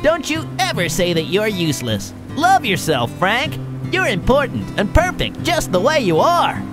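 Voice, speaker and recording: An actor playing a kind, reassuring tow truck in a children's cartoon, with a calm, encouraging manner. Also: mid-range voice, moderate pace, music in the background.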